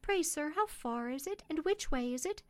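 A woman's voice: high voice, lively intonation, normal speed, a little mellow, dry recording, pitched above the performer's own voice. A woman voicing a poor young country mother in an audiobook story, earnest, polite and pleading. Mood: worried, pleading.

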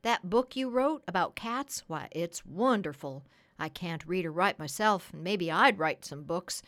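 A talkative, rough-spoken storybook tradesman acted out by a woman. He is folksy and sly, with loose grammar and a persuasive, scheming way of talking. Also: lowish voice. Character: chatty, scheming, uneducated working-class tradesman.

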